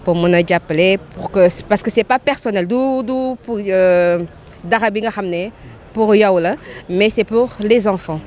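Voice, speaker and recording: A Senegalese woman with an average-pitched voice speaks without a script. She moves back and forth between two languages, pausing to find the next word. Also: a little mellow, slight background noise. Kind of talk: spontaneous talk mixing two languages.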